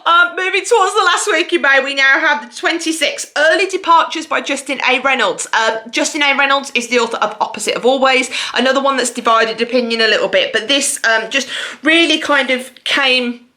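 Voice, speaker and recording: Bright-voiced, gushing woman vlogger. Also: high voice, brisk. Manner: gushing, effusive, expressive. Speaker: book vlogger. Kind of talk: casual chatty video monologue about books.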